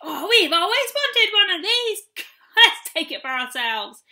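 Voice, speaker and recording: A woman voicing greedy, excitable gnomes from a storybook, yelling out in exclamations. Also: extremely high pitch, a little slow, voice pushed up, full character voice. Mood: gleeful.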